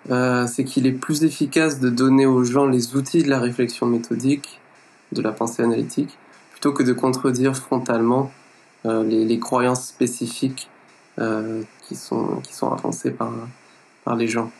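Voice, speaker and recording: Husky guy hosting a podcast, flat even voice, asks slow careful questions. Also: mid-range voice. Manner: measured, hesitant phrasing. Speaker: podcast host, interviewer. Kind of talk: interview question.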